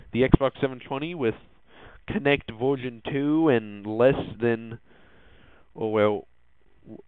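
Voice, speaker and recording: Young guy rambling about tech news, slow, lots of ums, clear voice but sounds like a phone line. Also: medium pitch, no echo. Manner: hesitant, many ums and restarts. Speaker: podcast host. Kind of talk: casual tech news monologue. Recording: dry phone-like lo-fi audio.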